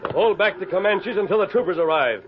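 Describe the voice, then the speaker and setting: A man's voice: high voice, expressive, fast talker, slight background noise. An actor playing a resolute masked western lawman in an old radio drama, urgent and commanding. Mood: firm, commanding. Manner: urgent, authoritative, clipped commands. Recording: dull lo-fi vintage radio recording.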